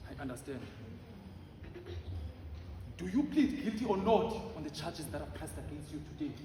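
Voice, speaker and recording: An actor plays an insistent court lawyer with formal phrasing and a high male voice. He is heard from far off in a heavily echoing, noisy auditorium. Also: lively intonation, normal speed, natural voice. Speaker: stage actor. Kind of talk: formal courtroom stage acting. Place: large auditorium stage. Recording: very echoey noisy auditorium, distant microphone.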